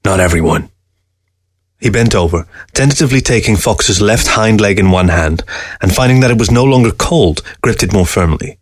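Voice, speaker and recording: A man's voice: lowish voice, fairly quick, no room sound. A man reading a short story aloud as scripted literary narration.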